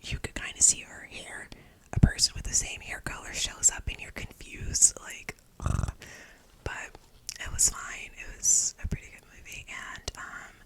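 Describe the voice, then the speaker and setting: A young woman giving a casual, informal movie review, whispering nearly the whole time in a breathy, unvoiced voice. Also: unhurried, rough recording. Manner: informal, slangy, rambling. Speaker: film reviewer.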